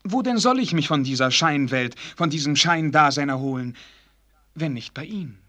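Lovesick young prince in a german radio drama, courtly and pleading, highish bright voice. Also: male voice, animated, normal speed. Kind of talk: German radio play acting.

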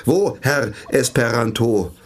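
Scornful, pompous old priest. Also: male voice, medium pitch, expressive, slow. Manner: haughty, declamatory, scornful. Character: pompous older male priest, language inventor, scornful. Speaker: pastor. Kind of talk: radio play acting.

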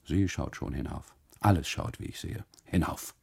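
Brooding, gravelly-voiced husband telling his story. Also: low-pitched, a little mellow, full character voice. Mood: grim, observant. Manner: halting, brooding, deliberate phrasing with repetitions and dramatic pauses. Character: uneasy German husband on holiday, obsessively narrating his story. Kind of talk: literary radio monologue, inward first-person narration.

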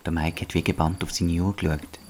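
A man narrates a scripted story for children in a deep voice. He speaks with a Swiss German accent, as the storyteller of an audio play. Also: little pitch movement, a little fast, darkish tone, slight background noise.

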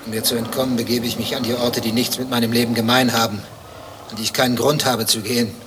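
An actor playing a brooding, bitter betrayed husband in a radio play, speaking in terse, probing, sardonic lines. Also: male voice, medium pitch, flat delivery, quick pace, noisy background, close to an everyday voice. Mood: weary. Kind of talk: German radio play dialogue.

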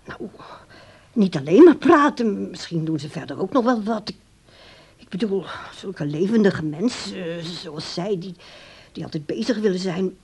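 A woman's voice: medium pitch, lively intonation, normal speed, a little mellow, breathy edge. An actress playing a nagging, self-sacrificing daughter who manages her old father in a radio drama, coaxing and insistent. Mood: confiding, hushed. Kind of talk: naturalistic radio drama acting.

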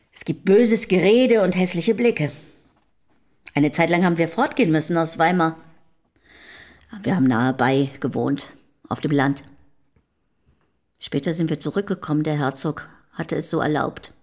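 An actress playing a guarded, down-to-earth middle-aged housekeeper of the early 1800s in a radio play, speaking plainly and tersely. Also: female voice, low voice, measured pace, long pauses, a little mellow. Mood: plain, reserved. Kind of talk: German radio play acting.

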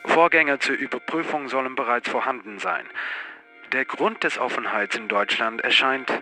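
An actor playing a crisp investigator who narrates a dossier in a radio play, in scripted documentary style. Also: male voice, mid-range voice, moderate pace, sharp tone, soft background music, low-quality recording. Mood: crisp, factual.

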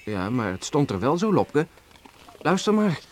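A man's voice: a little high, very animated, measured pace. An actor playing a hearty but wistful seaman in a Dutch radio play, with an exclamatory, folksy, emotional way of talking. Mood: defensive. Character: hearty, wistful seaman home after years away. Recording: noisy old radio play recording.

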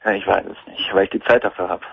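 A man's voice: sits low, fairly even intonation, fast talker, creaky, breathy edge, no echo. A man in his twenties calling in to a radio talk show, speaking hesitantly with many fillers over a telephone line. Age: 20s. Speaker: caller. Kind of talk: phone-in talk radio call. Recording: tinny phone line.

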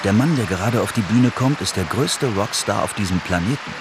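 Male narrator, scripted radio feature, lowish voice with animated intonation, prominent music bed. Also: brisk. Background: loud music.

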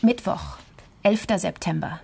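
A woman's voice: lowish voice, wildly swooping pitch, unhurried, creaky, dark tone, slight background noise, heavily acted. An actress in a crime radio play voicing a composed, determined investigator, matter-of-fact and clipped as she reports a case.

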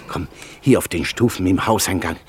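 A man's voice: deep voice, moderate pace, slight background noise, natural voice. An actor playing a poor, tender old porter and father in a 1950s German radio play, with a theatrical, savouring delivery. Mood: warm, devout. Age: older. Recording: 1950s radio production with music.

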